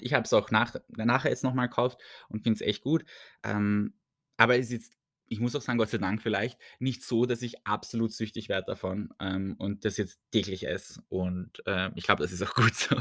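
A man's voice: on the low side, no echo, clean studio sound. A man with an Austrian accent hosting a solo podcast, chatty and self-mocking, laughing often as he rambles. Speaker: podcast host. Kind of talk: casual solo podcast chat.

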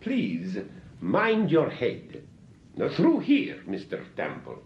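An actor playing a courteous, guarded shop attendant, speaking slowly and formally in a 1940s-style radio drama. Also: male voice, mid-range voice, huge pitch swings, highs cut off, slight background noise, exaggerated voice. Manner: slow, formal, polite.

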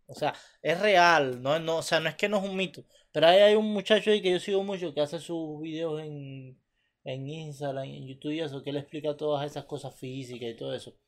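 A man hosting a podcast in casual chat, teasing and talking over his co-host. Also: fairly high pitch.

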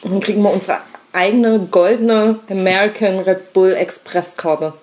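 A woman's voice: mid-range voice, fairly even intonation, a little mellow. A woman co-hosting a casual fan podcast, joking and bantering with the others. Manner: joking, quick-witted banter. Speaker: podcast co-host.

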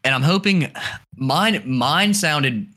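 A young man co-hosting a casual podcast chat, with a deep, throaty voice and a slight bro tone, laughing often and swearing freely. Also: expressive, takes it slowly, bright, forceful tone. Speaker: podcast co-host.

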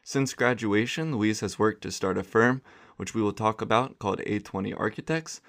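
Male podcast host, low register, heavy vocal fry; easygoing interviewer. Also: normal speed, a bit bright.

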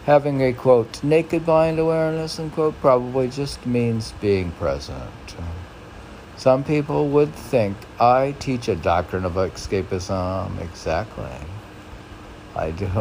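Male poet, unhurried and creaky, halting and reflective between read verses and offhand comments. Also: medium pitch, a little mellow, a little hiss or hum, rough recording. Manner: musing, halting, chuckling at his own remarks. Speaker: poet reading his own work. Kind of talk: poetry reading with rambling casual asides.

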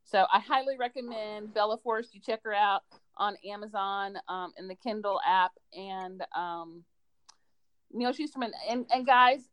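A woman's voice: mid-range voice, moderate pace, a bit bright, clean tone, no room sound. A woman chatting casually and enthusiastically about books as a school librarian on a podcast. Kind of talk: casual book recommendation chat.

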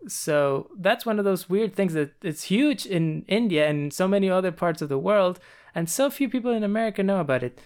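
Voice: mid-range voice, clear, resonant tone. A podcast host reading a rehearsed, upbeat introduction and then interviewing a guest.

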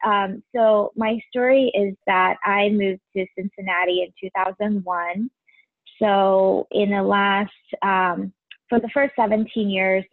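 A woman in her forties from Taiwan, a podcast guest, talking in a soft, casual way with frequent ums and light laughs. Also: medium pitch, no room sound. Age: 40s. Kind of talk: casual interview chat. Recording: tinny phone-like line, dry.

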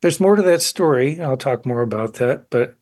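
A man in his sixties talking reflectively in an interview, thoughtful and halting as he searches for words. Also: mid-range voice, lively intonation, darkish tone, no room sound, clean studio sound. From grew up in South Korea as child of missionaries. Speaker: guest, painter.

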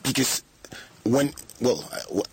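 A man's voice: medium pitch, expressive, unhurried, slight background noise. A man hosting a radio talk show in a studio, asking probing questions and finishing his guests' sentences. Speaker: radio host.

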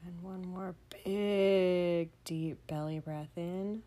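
Soft mellow woman doing a kids sleep meditation, really slow, gentle and half whispering. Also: fairly low pitch, steady tone. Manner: soothing, gentle, often breathy near-whisper. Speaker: children's meditation guide. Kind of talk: guided bedtime meditation for kids.

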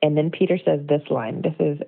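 An American woman with a low voice and plenty of vocal fry shares an informal devotional. She is conversational, reflective and earnest. The sound is thin and narrow, as on a phone voicemail. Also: brisk. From United States. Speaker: devotional speaker.

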